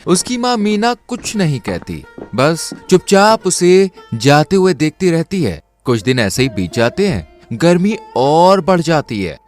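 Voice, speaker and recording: A man's voice: on the high side, very animated, measured pace, hardly any pauses, darkish tone, faint music behind. A man narrating a scripted cartoon story.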